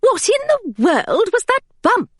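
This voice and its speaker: A woman's voice: extremely high pitch, wildly swooping pitch, measured pace, dry recording, studio quality, pitched above the performer's own voice, heavily acted. A woman voicing a prim, proper former governess.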